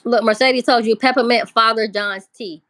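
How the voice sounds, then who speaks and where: A woman's voice: a little high, fairly even intonation, moderate pace, fairly bright tone, dry recording. Chatty woman hosting a livestream. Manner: chatty, swears freely, greets chat. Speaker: livestream host. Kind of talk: casual livestream chat.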